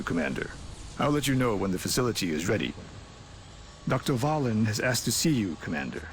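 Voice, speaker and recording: The elderly, formal head engineer greeting you in a game's underground workshop, music playing behind him. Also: male voice, lowish voice. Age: older. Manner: measured, formal, deliberate. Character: courteous, thoughtful older male chief engineer. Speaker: chief engineer. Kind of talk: scripted video game dialogue.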